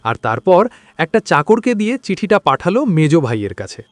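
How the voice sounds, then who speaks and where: Lively, higher-pitched male horror narrator. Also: normal speed, dry recording. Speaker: story narrator. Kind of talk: scripted dramatic horror narration.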